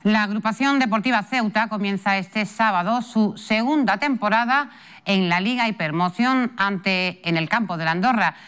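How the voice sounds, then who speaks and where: Smooth, crisp woman news anchor. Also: mid-range voice, moderate pace, fairly bright tone. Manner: crisp, formal delivery. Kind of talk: scripted news presenting.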